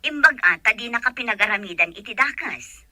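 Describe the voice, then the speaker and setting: A woman's voice: on the high side, lively intonation, fairly quick, bright, forceful tone, no room sound. A woman with a Filipino accent acting a caring, determined mother in an audio drama. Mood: concerned.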